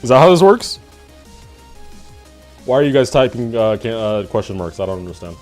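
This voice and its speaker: A man in his twenties chatting casually with his live-stream chat, laughing and swearing in quick asides. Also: mid-range voice, very animated, a little mellow, faint music behind. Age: 20s.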